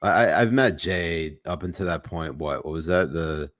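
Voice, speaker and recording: Deep, dry-sounding male podcast host. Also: moderate pace, a bit bright, no echo. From United States. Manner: crude joking, rambling with restarts. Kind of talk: casual comedic podcast banter.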